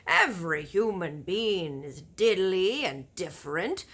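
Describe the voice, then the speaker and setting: A huge, blustering storybook giant, acted out by a woman, declaims in a forceful, swooping voice. The delivery is loud, emphatic and drawn out, full of indignant bluster and folksy, mangled grammar. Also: fairly low pitch, big pitch swings, takes it slowly, breathy edge, exaggerated voice. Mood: boastful, explaining. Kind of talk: children's storybook read-aloud.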